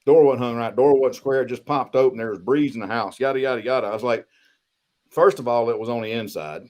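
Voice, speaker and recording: A man's voice: mid-range voice, fast, darkish tone. A man chatting casually and telling spooky personal stories in a folksy, slangy way, as a guest on a podcast. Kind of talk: casual storytelling chat.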